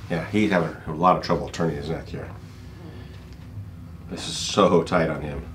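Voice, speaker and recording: A man's voice: rumbling low, steady tone, moderate pace, a bit bright. A middle-aged man talking casually as a chiropractor, informal and joking, with some salty language. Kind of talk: casual patient consultation and commentary. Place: small office treatment room. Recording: background noise, room ambience.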